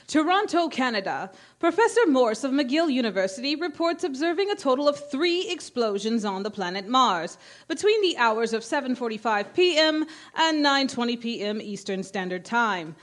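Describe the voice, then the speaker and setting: An actress playing a brisk, formal female studio announcer reading bulletins in a declamatory 1930s radio drama style. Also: a little high, big pitch swings. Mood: formal, urgent. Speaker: stage actor in a radio play.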